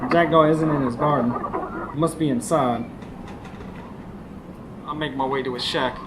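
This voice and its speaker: A man's voice: mid-range voice, fairly even intonation, unhurried, lightly acted. An actor playing an earnest, weary young stable hand who narrates his own story in a radio drama. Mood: reflective. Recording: live stage recording, faint background noise.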